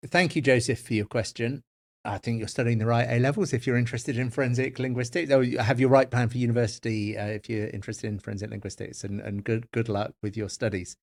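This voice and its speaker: Podcast co-host, middle-aged man, measured and hesitant, repeats words while thinking. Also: medium pitch, steady tone. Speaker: podcast co-host, forensic linguist. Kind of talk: academic podcast discussion.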